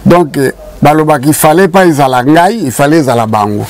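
A man's voice: a little high, expressive, brisk. Older congolese guy, talks emphatic, tells the old community stories, small studio room. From Congo Kinshasa. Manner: emphatic, mixes French and Lingala. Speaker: show guest and narrator of community history. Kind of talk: casual studio chat, storytelling.

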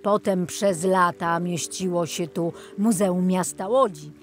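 A woman's voice: lowish voice, slow, faint music behind. A woman playing an enthusiastic, friendly man who guides children on a boat tour in an audiobook, exclaiming and explaining.

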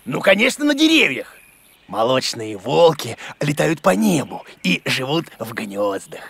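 A man's voice: a little high, sing-song, measured pace, vocal fry, heavily acted. A man voicing a grumpy, muddle-headed bearded creature who teaches with bluster in a children's cartoon. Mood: confident, blustering. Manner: blustery, exclamatory, mock-scolding.

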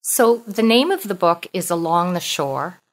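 Low-voiced Canadian woman author. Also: big pitch swings, a little slow. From Toronto, Canada. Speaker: author, podcast guest. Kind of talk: reading prose and talking about her book.

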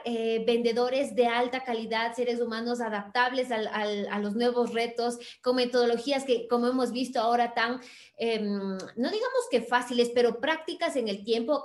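A woman's voice: on the high side, normal speed. Effusive woman podcast host, echoey room. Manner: warm effusive praise, affectionate sign-offs. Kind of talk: podcast interview by video call. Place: bright room at home.

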